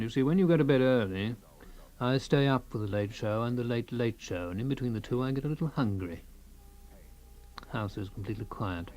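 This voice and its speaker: A man's voice: medium pitch, a little mellow, lightly acted, low-quality recording. An actor playing a fussy, self-absorbed husband in a radio comedy-drama, rambling and savouring small things, stoic about his creeping paralysis.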